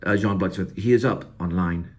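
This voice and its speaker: A man casually explaining his own creative work in progress, in a halting, loosely rambling way. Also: low voice, darkish tone. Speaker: amateur screenwriter and musician.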